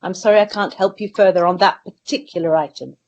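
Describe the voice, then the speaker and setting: A woman giving measured, formal answers as a councillor in a council meeting. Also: lowish voice, darkish tone. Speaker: councillor, portfolio holder.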